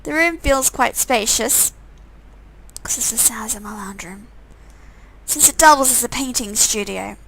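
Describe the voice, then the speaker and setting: A woman's voice: a little high, measured pace, creaky, fairly bright tone, low-quality recording. A woman reading a visual novel game aloud, with sarcastic, amused asides in a casual style. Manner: sarcastic, amused commenting between read lines.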